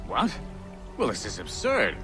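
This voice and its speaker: An older, self-important game official. His delivery is theatrical and swings dramatically up and down in pitch. He sounds agitated and quick to take offence, with prominent music behind him. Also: wildly swooping pitch. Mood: outraged. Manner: theatrical, indignant, swooping delivery. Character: agitated, haughty older male official, easily outraged. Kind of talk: video game cutscene acting. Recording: loud background music.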